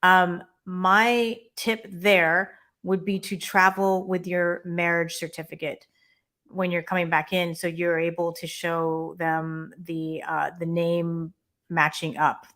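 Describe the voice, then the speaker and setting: A woman co-hosting a live immigration Q&A stream, chatting and giving quick practical tips. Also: on the low side, level tone, a bit bright. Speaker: immigration lawyer co-host.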